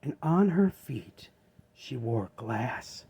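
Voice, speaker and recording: A man reading a children's story aloud as an expressive narrator. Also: medium pitch, takes it slowly, gravelly, slightly rough, muffled recording.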